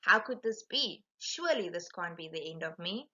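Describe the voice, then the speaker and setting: A woman's voice: on the low side, lively intonation, moderate pace, pushed and bright, muffled recording. A woman voicing a proud, dramatic female shark in a storybook, theatrical and exclaiming. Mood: distraught.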